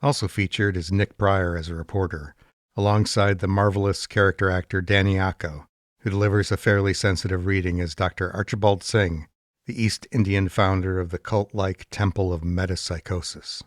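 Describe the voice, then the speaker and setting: A man's voice: low voice, steady tone, normal speed, no room sound, clean studio sound. A man presenting a program in a scripted documentary narration style.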